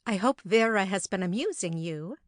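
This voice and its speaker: Woman playing a brisk, chattering hostess aunt, close and dry. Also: mid-range voice, lively intonation, no echo, close to an everyday voice. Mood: brisk, cheerful. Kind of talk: dramatic audiobook reading.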